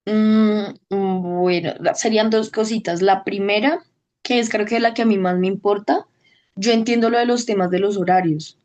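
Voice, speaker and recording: A woman at a medium pitch, giving her testimonial in an interview. She thinks aloud as she goes, hesitating and starting sentences again. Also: fairly even intonation, normal speed, darkish tone. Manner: thoughtful, hesitant, many restarts. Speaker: au pair program participant, teacher.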